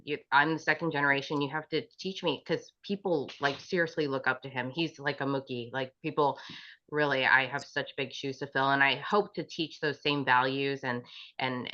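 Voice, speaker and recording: A woman speaking as a panel guest, gushing and quick, full of "like" and restarts. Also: very deep voice, fairly even intonation, bright, forceful tone. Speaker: panel guest, business owner. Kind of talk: casual interview talk. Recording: dull lo-fi recording.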